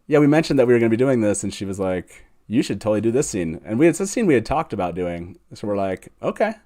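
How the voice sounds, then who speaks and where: A man's voice: on the low side, sing-song, fast talker, warm tone. A man hosting an online fan show in a casual, chatty style.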